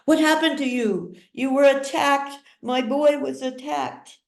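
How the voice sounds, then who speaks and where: Woman acting a frantic wailing mother, pitched high. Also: steady tone, unhurried, gravelly, echoey room. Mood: alarmed. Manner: wailing, exclamatory, repeating herself. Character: frantic, grief-stricken mother crying out for her children.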